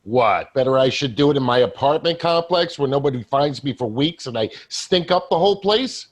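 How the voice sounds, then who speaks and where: Stage ghost, male actor: wry, world-weary office worker, husky, very animated delivery. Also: medium pitch, close to an everyday voice. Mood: wry, sardonic. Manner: wry, halting, reflective. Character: apparition of dead male coworker, wry, weary, darkly joking. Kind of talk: staged play reading.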